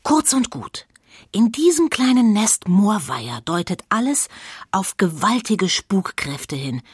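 Nosy newspaper reporter, raspy low voice, suspenseful narration, dry sound, children's radio drama. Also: female voice, very animated, unhurried, no echo, exaggerated voice. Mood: ominous. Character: forward, nosy female newspaper reporter narrating a spooky adventure.

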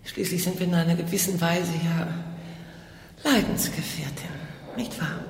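A woman's voice: fairly low pitch, normal speed, noisy background, close to an everyday voice. An actress playing a genteel, lonely, deceived lady of means, hesitant and confiding, in a radio crime drama. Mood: polite, confiding. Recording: echoey hall with long reverb.

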